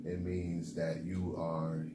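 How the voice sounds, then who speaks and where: A man with a very deep voice chats in a laid-back way on a podcast, padding his talk with fillers. An echoey room carries him, with clear noise behind. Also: completely flat delivery, slow. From the Bronx, New York. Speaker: podcast co-host. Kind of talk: casual motivational chat.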